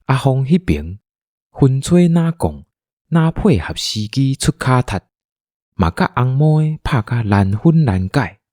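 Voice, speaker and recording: A man with a Taiwanese accent narrating a scripted radio drama in an animated, dramatic storytelling manner. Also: medium pitch, a little slow, no echo, clean studio sound.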